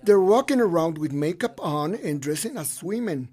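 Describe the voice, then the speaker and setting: A translator's voice-over delivers personal testimony in the first person. The voice sits at a middle pitch. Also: lively intonation, moderate pace, a little mellow, husky.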